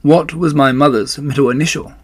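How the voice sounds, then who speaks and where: A man voicing tiny, friendly young female spiders in a children's storybook, with bright, polite speech. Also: a little high, steady tone, fairly quick, husky, no room sound. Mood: eager, friendly. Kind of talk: children's storybook read-aloud.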